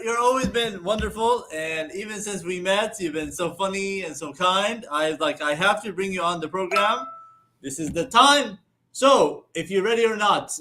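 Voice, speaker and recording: High-pitched bright host guy, super upbeat, laughs a lot. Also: low-quality recording. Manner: upbeat, effusive, laughs often. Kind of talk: casual interview show.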